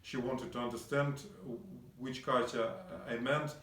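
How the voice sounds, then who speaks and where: A man hosting a talk show, asking questions haltingly and often repeating words. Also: mid-range voice, flat delivery, fairly bright tone. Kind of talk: casual interview questions. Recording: echoey room, heard from a distance.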